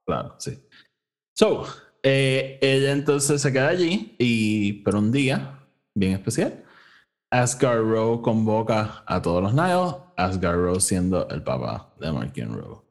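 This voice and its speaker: Low-voiced Puerto Rican man, casual co-host. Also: big pitch swings, slow. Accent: Puerto Rican Spanish. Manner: code-switches between Spanish and English. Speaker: podcast co-host. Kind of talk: casual chat recapping a story.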